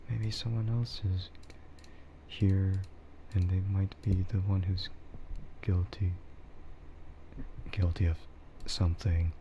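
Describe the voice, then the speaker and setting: A man livestreaming casual ASMR chat in a hushed, rambling way that often slips toward a whisper. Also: flat delivery, slow, pauses often. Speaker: livestream host. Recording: muffled low-fidelity mic with clear background noise.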